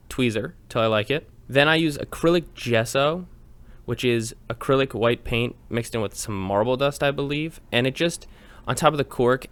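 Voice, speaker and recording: A man's voice: mid-range voice, fairly bright tone, clear, resonant tone. A man narrating a step-by-step tutorial in an informal, chatty, explanatory way. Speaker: host of a miniature painting tutorial.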